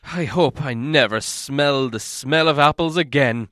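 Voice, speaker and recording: A man voicing a fed-up young dwarf in a storybook reading, complaining loudly and plaintively. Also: mid-range voice, measured pace, dry recording, clean studio sound, natural voice.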